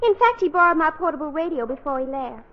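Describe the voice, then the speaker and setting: A woman's voice: high-pitched, expressive, fast talker. A woman playing an airy, affected teenage girl in a 1940s radio comedy. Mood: affectedly indifferent. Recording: muffled 1940s radio broadcast.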